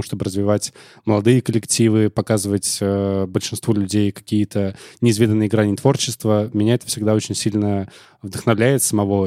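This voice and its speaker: Man, earnest podcast co-host, hesitant fillers, lowish voice, dry close mic. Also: a little mellow, no room sound. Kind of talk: casual chat.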